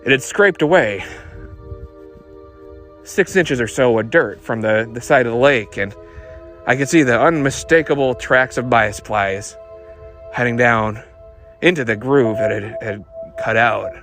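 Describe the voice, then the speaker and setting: A man playing a world-weary hot rod detective, narrating a noir monologue in a dramatic, deliberate, knowing manner. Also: mid-range voice, a bit bright, soft background music. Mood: ominous.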